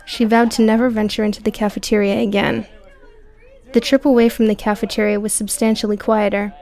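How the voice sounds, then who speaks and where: A woman's voice: medium pitch, level tone, fairly quick, darkish tone. A woman reading scripted audio book narration as the narrator.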